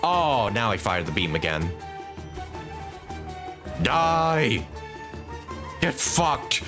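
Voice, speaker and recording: A man's voice: medium pitch, big pitch swings, drawn-out words, music in the background. A man doing casual live commentary as a game streamer, thinking aloud as he plays and swearing casually.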